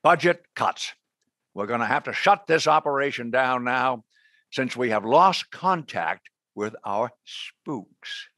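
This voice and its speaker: Bright, forceful male agency director. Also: medium pitch, animated, slow pace, no echo, clean studio sound, exaggerated voice. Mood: stern. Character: brusque, officious male intelligence agency director. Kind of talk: radio drama acting.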